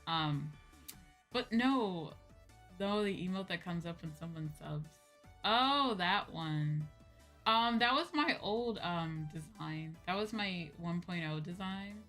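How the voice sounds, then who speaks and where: Bright-voiced woman livestreamer, chatting casually. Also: on the low side, takes it slowly. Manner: animated, informal, chatty, often seeks agreement with "right?". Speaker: VTuber streamer chatting with viewers. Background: background music.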